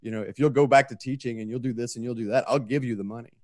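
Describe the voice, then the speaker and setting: A man's voice: mid-range voice, steady tone, racing, a little mellow, dry recording, clean studio sound. A man talking casually and earnestly to a group, swearing freely and often doubling back on his phrases. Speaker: writer and filmmaker. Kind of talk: casual heartfelt talk to a group.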